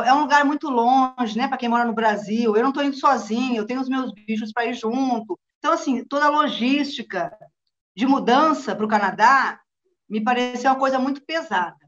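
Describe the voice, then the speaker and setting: High-voiced middle-aged Brazilian woman, muffled audio. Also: a bit bright. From Rio Grande do Sul, southern Brazil. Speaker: guest, journalist. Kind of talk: casual personal account in an interview. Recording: dull, lo-fi online call.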